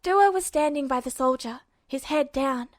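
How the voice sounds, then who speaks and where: A woman's voice: high voice, normal speed, no echo, clean studio sound. A woman reading scripted fiction aloud as a story narrator.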